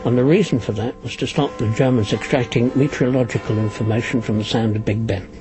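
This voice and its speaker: A man with a gravelly, husky voice, explaining things as an interviewed expert. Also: mid-range voice, steady tone, fast talker, music in the background. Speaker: signals expert and cryptographer being interviewed.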